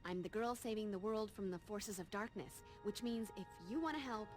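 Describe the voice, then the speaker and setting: An actress playing a bossy, tough young American vampire slayer in a game cutscene, blunt and no-nonsense. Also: female voice, medium pitch, moderate pace, faint music behind, lightly acted. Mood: stern. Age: young adult. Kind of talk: video game cutscene acting.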